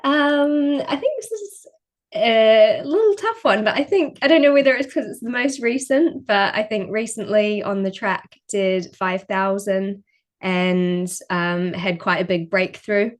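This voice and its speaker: A young woman talks casually as a podcast guest. She sounds relaxed and modest and often pauses with "um" and "like". Her voice sits at an ordinary middle pitch. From New Zealand. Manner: relaxed, modest, frequent ums and likes. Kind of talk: casual interview chat.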